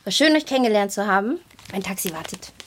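An actress playing a gracious, hurried celebrity guest in a German children's radio play. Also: female voice, medium pitch, animated, a bit bright, a little hiss or hum, lightly acted. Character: gracious, hurried glamorous celebrity guest greeting young fans.

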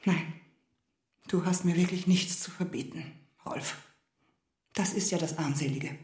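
Actress as proud hurt wife in a radio play, low voice, reproachful n intense, hushed bits, roomy echo. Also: female voice, unhurried, lightly acted. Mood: bitter, resigned. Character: wounded, proud wife confronting her husband and lover. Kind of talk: German radio drama dialogue.

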